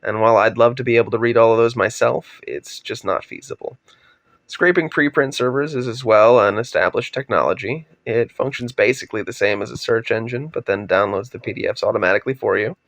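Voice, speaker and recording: Young man, raspy from a cold, gravelly, dry close mic, explanatory slide talk. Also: medium pitch, fairly quick, dry recording. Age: young adult. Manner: steady, explanatory, trails off at sentence ends. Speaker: undergraduate researcher presenting. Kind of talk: scripted slide presentation narration.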